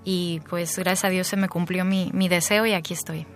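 A young woman from Mexico, a ballet dancer, answers questions in a radio interview. She speaks thoughtfully, pausing and restarting as she goes. Soft music plays faintly underneath. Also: fairly low pitch, fairly even intonation. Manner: thoughtful, hesitant.

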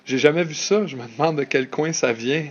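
A man slowly pondering what an expression means, with hesitant pauses. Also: on the high side, slightly rough, highs cut off. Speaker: listener guessing an expression.

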